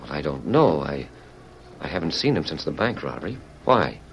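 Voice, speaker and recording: An actor playing an earnest, fretful American fugitive in an old radio western, speaking haltingly. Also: male voice, extremely low pitch, big pitch swings, slight background noise, exaggerated voice. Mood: grave, resigned. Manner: hesitant, halting speech. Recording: muffled vintage radio drama recording.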